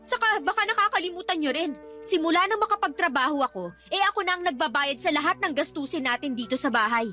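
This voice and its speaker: An actress playing an outspoken young adult daughter who answers back reproachfully in a radio drama. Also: female voice, high-pitched, expressive, quick pace, bright, forceful tone, soft background music. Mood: indignant. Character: outspoken, defiant grown daughter defending her mother. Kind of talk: Tagalog radio drama acting.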